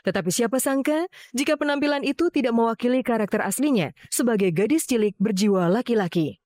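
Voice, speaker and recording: Woman narrating a celeb profile, kinda high voice, super dry studio sound, measured and formal. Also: darkish tone, no room sound. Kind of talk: scripted voice-over narration.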